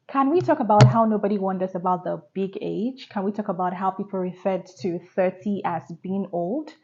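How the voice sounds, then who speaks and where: A woman's voice: mid-range voice, moderate pace, warm tone, lo-fi sound. A woman, talking candidly to her vlog audience and asking rhetorical questions. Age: 30s. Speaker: vlogger. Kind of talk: casual reflective talk to camera.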